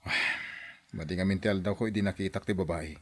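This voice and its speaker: A man's voice: low voice, fairly even intonation, a little fast, a bit bright, no echo. An actor playing a bitter middle-aged boss who sighs and accuses his nanny, in a radio drama. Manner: sighing, resentful, raises voice.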